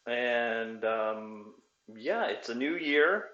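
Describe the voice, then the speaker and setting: A man hosting a casual chat about a tabletop wargame, talking in a relaxed, halting way with many fillers. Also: medium pitch, reverberant, highs cut off. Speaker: podcast host. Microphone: new desktop microphone.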